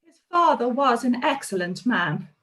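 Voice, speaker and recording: A woman's voice: fairly high pitch, lively intonation, measured pace, fairly bright tone, rough recording. A woman voicing a cheerful, curious aunt in a live-read radio play.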